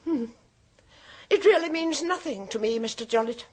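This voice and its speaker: An actress playing a knowing, mocking woman in a radio drama, speaking in a slow, drawn-out manner. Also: high voice, animated, darkish tone. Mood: sly. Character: knowing middle-aged woman, sister of a medium, sly and mocking. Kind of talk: 1970s radio drama acting.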